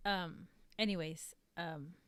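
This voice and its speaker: A woman's voice: lowish voice, steady tone, takes it slowly, fairly bright tone, clear, resonant tone, no echo. A woman from South Texas with a Mexican-American Texan accent, rambling in casual chat as a streamer, filling her talk with "like" and "um". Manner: rambling, leans heavily on "like" and "um". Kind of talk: casual chat reading viewer comments.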